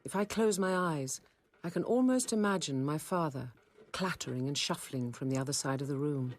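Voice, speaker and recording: An actress playing a composed, dryly witty convent apothecary nun in a radio drama, measured and articulate. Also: female voice, deep voice, slight background noise, lightly acted. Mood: reflective, measured. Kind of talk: radio drama acting and narration.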